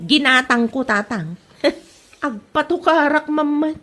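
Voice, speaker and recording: A woman's voice: sits high, lively intonation, takes it slowly, fairly bright tone, faint music behind, full character voice. A woman playing a feisty, spiteful grown daughter who defiantly feuds with a neighbour in a comedy audio drama.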